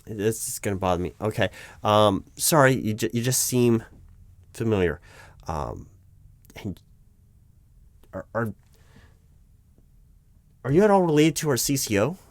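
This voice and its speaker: Man playing nervous recruiting manager, deep-ish voice, close dry sound, hesitant, apologetic, over-polite, audio roleplay. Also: very animated, a little slow, long pauses, no echo. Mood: puzzled, distracted. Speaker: roleplay performer. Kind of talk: one-sided job interview audio roleplay, spoken aloud.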